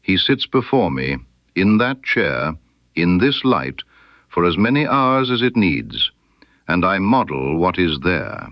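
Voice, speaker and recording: A man voicing a proud, stubborn sculptor in an audiobook, scornful of flattery, with blunt, emphatic, formal period speech. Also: sits low, takes it slowly, no room sound, lo-fi sound, full character voice. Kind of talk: character dialogue in an audiobook.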